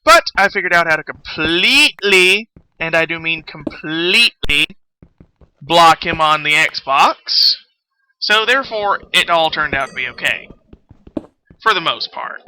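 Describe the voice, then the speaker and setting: A man's voice: fairly high pitch, lively intonation, unhurried, sharp tone, smooth, poor audio. A man chatting casually as he hosts a game livestream, rambling along in a self-deprecating, sweary way. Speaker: game streamer, host. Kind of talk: casual livestream chat while playing a game.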